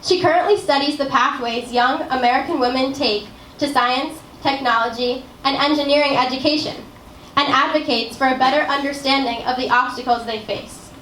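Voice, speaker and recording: A young woman reading a speaker introduction as emcee, in a measured, list-like way. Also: sits high, a bit bright, a little hiss or hum. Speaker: event emcee. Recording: echoey hall from far off.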